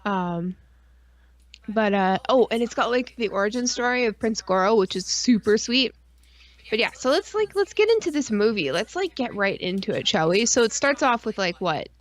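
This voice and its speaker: A woman co-hosting a stream in casual, rambling fan chat, excitable and full of slang and swearing. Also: a little high, moderate pace. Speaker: podcast and stream co-host.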